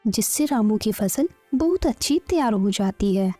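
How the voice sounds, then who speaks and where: A woman's voice: mid-range voice, expressive, moderate pace, warm tone, soft background music. A woman narrating a scripted bedtime story.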